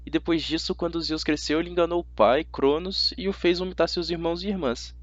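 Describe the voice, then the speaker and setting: A man's voice: a little high, a little fast, no room sound, lo-fi sound, voice pushed up, lightly acted. A man voicing an awkward, impulsive schoolboy in an audiobook, hesitant and earnest. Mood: explaining, tentative. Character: awkward, impulsive twelve-year-old schoolboy, unsure of himself. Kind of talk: audiobook character voice.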